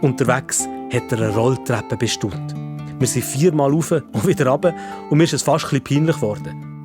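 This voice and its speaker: A man's voice: medium pitch, fairly quick, music in the background. A man with a Swiss German accent narrating a children's story in the first person, in simple, conversational phrasing.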